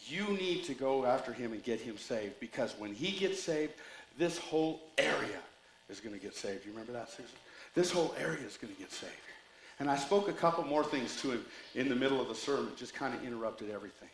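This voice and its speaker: A man's voice: medium pitch, animated, brisk, slight background noise. Rambling man preaching in an echoey hall. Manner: rambling, joking asides. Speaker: pastor. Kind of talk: sermon.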